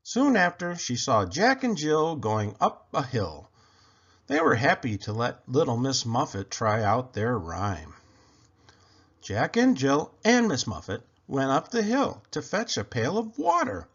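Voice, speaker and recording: A man narrating a children's picture book aloud in an expressive storytelling style. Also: mid-range voice, a little slow, husky. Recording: dull lo-fi recording.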